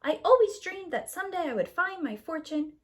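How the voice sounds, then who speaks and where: A woman voicing a cheeky, chatty young boy in a storybook, quick to argue back. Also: very high voice, lively intonation, voice pushed up. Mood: cheerful. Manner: cheeky, argumentative.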